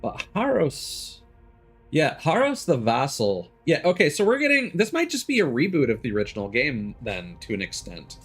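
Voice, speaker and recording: A man's voice: on the high side, huge pitch swings, moderate pace, slightly rough, soft background music. A man streaming a video game, thinking aloud in casual commentary that rambles through frequent ums and restarts.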